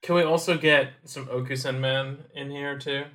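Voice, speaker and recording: A man's voice: medium pitch, fairly even intonation, moderate pace, a bit bright. A man co-hosting a podcast, chatting in an easygoing, hesitant way with ums. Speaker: podcast co-host. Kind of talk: casual chat.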